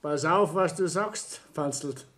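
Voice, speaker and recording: A man's voice: fairly high pitch, takes it slowly, fairly bright tone. A man acting a proud, aggrieved Bavarian business owner in a 1960s German radio play, self-righteous and blustering. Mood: indignant, self-righteous.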